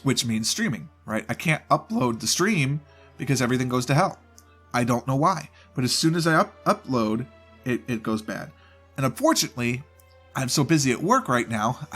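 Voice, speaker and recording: A man's voice: mid-range voice, fairly bright tone. A man with a cold and a stuffed-up voice, rambling casually through a channel update from his home desk mic. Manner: rambling, loose, self-interrupting. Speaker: gaming channel host. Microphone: home desk mic, voice only.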